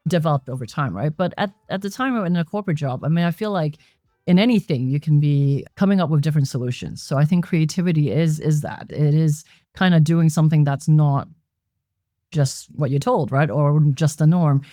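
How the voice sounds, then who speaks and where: Reflective woman from Hong Kong, mellow. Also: deep voice, fairly quick, dry recording, studio quality. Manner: reflective, explanatory. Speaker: guest. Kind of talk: conversational interview answers. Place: members' club lounge.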